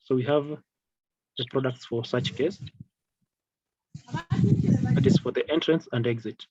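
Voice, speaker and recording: A man giving an informal product training over slides in a halting, repetitive, hesitant way. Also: mid-range voice, huge pitch swings, dark tone, breathy edge, no room sound, highs cut off. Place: online video call with screen-shared slides.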